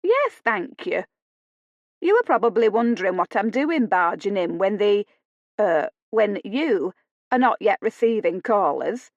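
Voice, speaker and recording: A woman's voice: mid-range voice, sing-song, unhurried, no echo, muffled recording. A woman puts on a flamboyant Yorkshire lady of about fifty-five for a period novel. She is effusive and far too familiar, with a needling edge under the politeness. The sound is close and dry. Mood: gushing. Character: flamboyant Yorkshire woman in her fifties, gushing, brazen.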